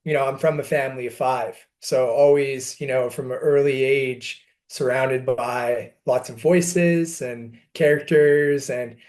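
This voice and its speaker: A Canadian-accented man speaks at a medium pitch as a podcast guest. He tells his own story in an earnest, reflective, conversational way. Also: fairly even intonation, measured pace, hardly any pauses, a little mellow. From Montreal, Canada. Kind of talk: interview conversation, personal storytelling.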